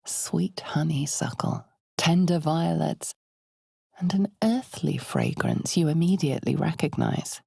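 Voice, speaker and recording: A woman playing an ominous storyteller who narrates a dark fantasy game in a deliberate, theatrical, foreboding way, with a gravelly, husky voice. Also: low voice, very animated, no room sound, clean studio sound, heavily acted. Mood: hushed, intimate. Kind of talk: scripted second-person dramatic narration.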